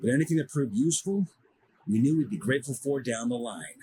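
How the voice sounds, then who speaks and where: An actor playing a seasoned, confident expedition commander in a fantasy game, speaking in an assured, measured way. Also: male voice, medium pitch, animated, mellow, breathy edge. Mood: wry, reflective. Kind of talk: fantasy video game dialogue acting.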